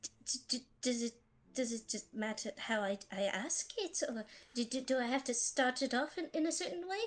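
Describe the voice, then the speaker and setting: A woman's voice: fairly high pitch, normal speed, close to an everyday voice. Woman voicing a timid, stammering young boy demigod. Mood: anxious, unsure. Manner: hesitant, stammering, meek. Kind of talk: character acting in fantasy audio drama.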